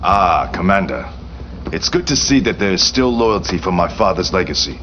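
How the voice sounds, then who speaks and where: A man's voice: low-pitched, sing-song, moderate pace, fairly bright tone, highs cut off, slight background noise. A man acting a proud, vengeful Japanese prince in a game cutscene, speaking formally and solemnly with grandiose command. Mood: solemn, urgent.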